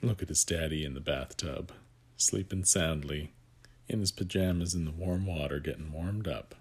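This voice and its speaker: A man reading a picture book aloud to young children, with gentle asides that guide the listener. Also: very deep voice, moderate pace, slightly rough.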